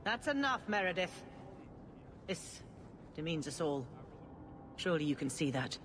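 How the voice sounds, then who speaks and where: An actress playing a serene elderly female religious leader, speaking in a measured, genteel and gently patronizing way in a fantasy game. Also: sits low, very animated, slight background noise, heavily acted. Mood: firm, patronizing. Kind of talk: dramatic fantasy video game acting.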